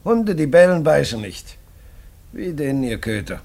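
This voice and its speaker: An actor playing a stubborn, proud older father in a German radio drama, with a gravelly, husky voice. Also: a little high, very animated, measured pace, a little mellow, heavily acted. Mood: defiant, bitter.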